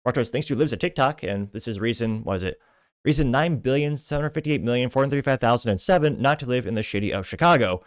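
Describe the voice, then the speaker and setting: A man's voice: medium pitch, expressive, fast talker, smooth, no echo, studio quality. An American man hosting a podcast, reading posts aloud and giving informal, rambling political commentary. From Midwestern United States. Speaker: podcast host.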